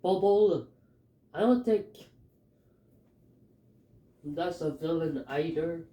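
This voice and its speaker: A man with a Filipino accent talking through his fan theories in a halting, hesitant way, with many fillers. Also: a little high, warm tone, reverberant. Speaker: fan commentator. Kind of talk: casual theory talk.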